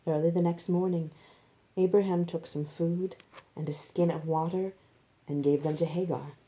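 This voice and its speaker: A woman reads scripture aloud in an expressive storytelling style. Her voice sits a little low. The tone is soft-edged, dark and mellow. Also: normal speed. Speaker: reader of scripture narrative.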